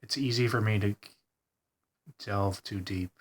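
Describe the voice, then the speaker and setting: A congested man talking hesitantly in a rambling personal video diary, full of pauses and ums. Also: fairly low pitch, steady tone, breathy edge. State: congested, stuffed-up nose. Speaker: vlogger.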